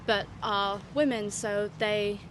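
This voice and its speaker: Female vox-pop interviewee, earnest and quick, filler-heavy, loud street traffic. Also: mid-range voice, fairly even intonation. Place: city street outdoors.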